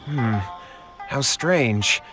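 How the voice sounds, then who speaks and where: A man's voice: medium pitch, lively intonation, extremely slow, pushed and bright, exaggerated voice. A man playing a worried, hapless young man in a video game, earnest and easily flustered. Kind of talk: video game character acting. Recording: music clearly behind the voice.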